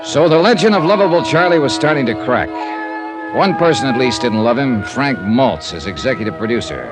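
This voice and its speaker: An American actor playing a hardboiled, sardonic insurance investigator in a 1950s radio drama, speaking in a clipped, wry way. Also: male voice, medium pitch, animated, a little fast, loud music behind the voice, close to an everyday voice. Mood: reflective narration. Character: hardboiled wry male insurance investigator, narrating his cases. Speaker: lead actor and narrator. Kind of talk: 1950s radio detective acting. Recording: noisy old radio recording.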